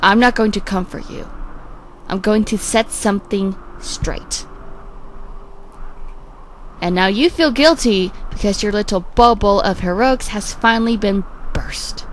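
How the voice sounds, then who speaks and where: A woman voicing a frank, world-weary male character in an amateur comic dub, blunt and halting as she explains. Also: medium pitch, a little hiss or hum, natural voice. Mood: stern.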